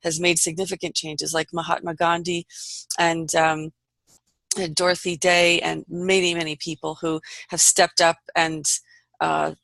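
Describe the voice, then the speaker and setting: A woman's voice: sits low, level tone, unhurried, gravelly, fairly bright tone, dry recording. Woman hosting, close dry sound. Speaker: host of an online panel report. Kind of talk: unscripted panel hosting.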